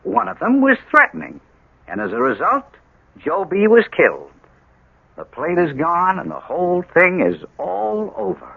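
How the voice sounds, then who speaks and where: An actor playing a self-justifying husband who argues with emphatic questions in a radio drama. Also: male voice, high voice, wildly swooping pitch, moderate pace, vocal fry, husky, no echo, full character voice. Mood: defensive, insistent. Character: evasive, self-justifying husband, coolly argumentative. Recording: muffled, slightly noisy old radio recording.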